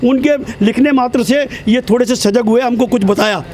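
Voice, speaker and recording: A man's voice: extremely high pitch, moderate pace, darkish tone. Indignant man in his seventies. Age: 70s. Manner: indignant, insistent, repeats himself. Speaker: protest spokesman. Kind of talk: street interview. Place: outdoors at a protest.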